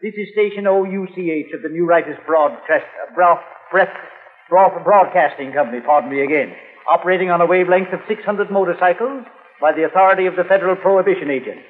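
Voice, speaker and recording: Man playing a high-pitched, bumbling old-time radio announcer. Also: fast, lightly acted. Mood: flustered, formal. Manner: stammering, self-correcting, pompous formality. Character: bumbling, flustered 1920s radio station announcer, parody. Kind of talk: vintage comedy radio sketch. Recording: tinny, reverberant early radio recording.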